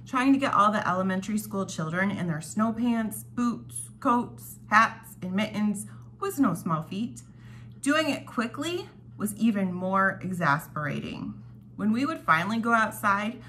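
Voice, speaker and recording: Lady reading a devotional out loud at her dining table, some chatty asides, bit of noise behind her. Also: medium pitch. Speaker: devotional host reading aloud. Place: dining room at home. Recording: home recording with clear background noise.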